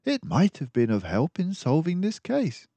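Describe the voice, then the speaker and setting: An earnest storybook mouse, the detective's admiring companion, acted by a male reader. The voice is somewhat raised and very expressive, with a genteel, puzzled manner. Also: fairly high pitch, moderate pace, slightly rough, dry recording, voice pushed up, exaggerated voice.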